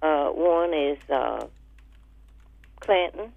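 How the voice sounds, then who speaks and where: A woman calling a radio show, casually listing things in a halting way over the phone. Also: sits low, expressive, gravelly, dry recording. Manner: halting, pausing between items. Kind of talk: casual listing of items and numbers. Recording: narrow phone line on radio.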